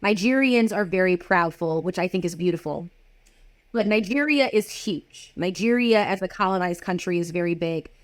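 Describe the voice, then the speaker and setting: A woman's voice: fairly low pitch, normal speed, no echo. A woman hosting a casual interview over a video call, upbeat and emphatic, putting direct questions to her guest. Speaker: podcast host.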